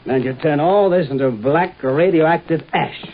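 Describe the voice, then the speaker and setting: A thin, faintly noisy old radio recording carries an idealistic American scientist. His voice sits a bit high, and he speaks with earnest, dreamy hesitation in 1950s drama style. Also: a little slow, narrow, tinny audio. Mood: indignant, worried.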